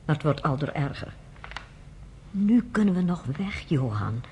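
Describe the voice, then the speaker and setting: A woman's voice: sits low, very animated, unhurried, darkish tone, husky, heavily acted. An actress playing an anxious, pleading pregnant mother in a radio drama.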